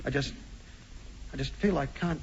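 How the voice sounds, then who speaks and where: A man's voice: mid-range voice, moderate pace, a little hiss or hum, natural voice. An actor playing a sulky, heartbroken, childlike young man in a 1950s radio comedy, whiny and stubborn. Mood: mournful. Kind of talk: 1950s radio sitcom acting. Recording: muffled 1950s radio recording.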